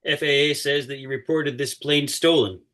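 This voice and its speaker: A man's voice: fairly high pitch, steady tone, normal speed, fairly bright tone, close to an everyday voice. A man playing an irritable sheriff in his fifties in a script read, terse and accusing. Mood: irritated.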